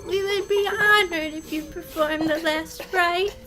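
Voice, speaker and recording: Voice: extremely high pitch, steady tone, unhurried, over music. A performer playing a grateful, pious widow in a parody pulp audio drama. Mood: reverent, grateful.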